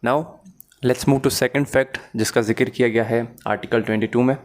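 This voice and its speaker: A man's voice: medium pitch, steady tone, normal speed. A man with a Pakistani accent giving a methodical, step-by-step explanatory lecture as a law teacher, mixing in English terms.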